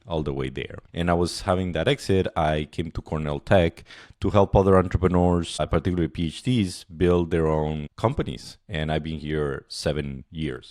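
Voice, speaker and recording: Very deep-voiced Colombian man. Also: a little mellow, no room sound, studio quality. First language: Spanish. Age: middle-aged. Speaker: podcast guest, startup program director. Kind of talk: conversational interview storytelling.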